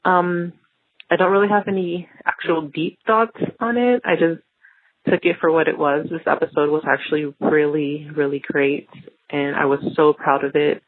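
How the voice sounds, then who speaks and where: A woman leaving a casual, reflective listener voicemail, pausing between phrases on a dull recording. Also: low-pitched, fairly even intonation, slightly rough.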